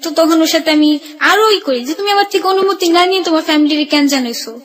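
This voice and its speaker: A young Bangladeshi woman with a high voice tells her personal story as a radio guest. She talks quickly in colloquial, run-on sentences, one piece flowing into the next. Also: a bit bright. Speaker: guest telling own life story.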